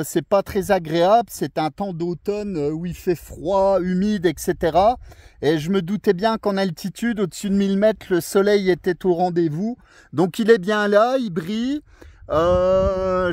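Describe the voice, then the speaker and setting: High-pitched Frenchman chatting on amateur radio. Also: no echo. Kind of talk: casual radio contact chat. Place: outdoors on a mountain, over a thousand metres.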